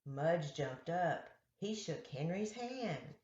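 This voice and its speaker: A woman reads a children's storybook aloud at a very unhurried pace. Her voice sits a little low, and she phrases things simply for young listeners. The sound is roomy and echoey. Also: expressive, darkish tone, muffled recording. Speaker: children's storyteller reading picture books aloud.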